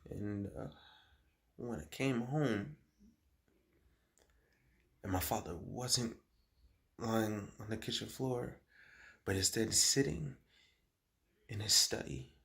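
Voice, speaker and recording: An actor playing a confused, grieving schoolboy son in a dramatic monologue, speaking in a halting, hushed way with heavy pauses. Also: male voice, on the low side, creaky, heavily acted.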